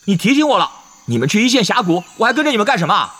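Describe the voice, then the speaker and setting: A man acting in an audio drama as a cocky, talkative young gamer who chatters excitably and nags. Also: sits high, music in the background. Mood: indignant. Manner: chatty, rapid-fire, repeats himself.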